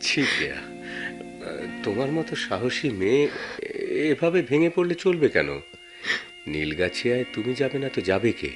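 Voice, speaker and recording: An actor playing a caring, cautious family friend in a Bengali radio drama, with a Bangladeshi accent and a measured, solicitous manner. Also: male voice, mid-range voice, big pitch swings, over music, lightly acted. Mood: concerned, cautious.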